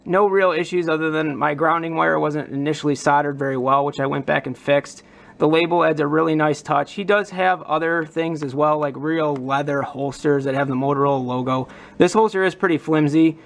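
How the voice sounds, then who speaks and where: A man's voice: on the high side, steady tone, a little fast, vocal fry. A man presenting a prop modding demonstration in an informal, enthusiastic, casual explanatory way. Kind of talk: casual explanatory hobby vlog.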